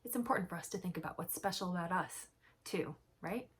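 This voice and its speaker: A woman storyteller reading a picture book to young children, expressive and emphatic, chatting to them with questions. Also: lowish voice, a little fast, husky. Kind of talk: animated storybook read-aloud with commentary to young children.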